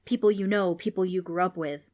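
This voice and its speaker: A woman reading aloud, voicing a loud, scornful male ghost who mocks children. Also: fairly low pitch, steady tone, brisk, close to an everyday voice. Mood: mocking. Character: bossy male ghost, gruff and mocking.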